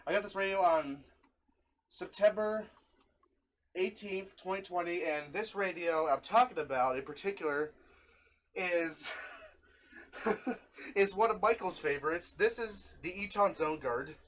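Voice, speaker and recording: Giggly young man, higher-pitched voice. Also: unhurried, pauses often, fairly bright tone, a little hiss or hum. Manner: giggly, easily breaks into laughter. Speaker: video host, hobby radio collector. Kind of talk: casual review with friends on a call.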